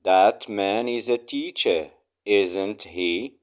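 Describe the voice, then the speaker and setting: A man reading short English phrases aloud for learners, saying each one three times slowly and clearly. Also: lowish voice.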